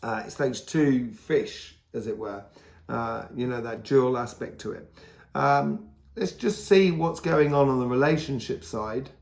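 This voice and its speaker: A hoarse man giving a casual, rambling tarot reading, full of fillers and restarts. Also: medium pitch, measured pace, darkish tone, reverberant. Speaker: tarot reader.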